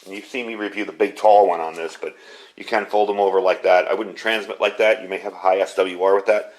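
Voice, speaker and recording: A man's voice: deep voice, steady tone, quick pace, a little hiss or hum, rough recording. Middle-aged American man, casual reviewer. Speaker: product reviewer, amateur radio hobbyist. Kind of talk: casual product review to camera.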